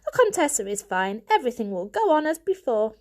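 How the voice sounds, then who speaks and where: A woman's voice: a little high, very animated, fairly quick. A woman voicing a plump, haughty aunt cat who speaks with dismissive self-assurance in a storybook. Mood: smugly confident. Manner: confident, dismissive.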